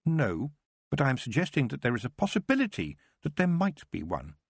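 A man voicing a young witch who speaks firmly and in a measured, commanding way. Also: medium pitch, huge pitch swings, gravelly, breathy edge, no echo, voice pushed up, heavily acted. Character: young witch girl Tiffany, firm and sharp-minded.

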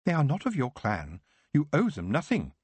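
Man doing a cold elf woman, detached, probing, husky. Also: a little high, wildly swooping pitch, normal speed, darkish tone, no room sound, voice pushed up, full character voice. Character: cold, emotionless female elf.

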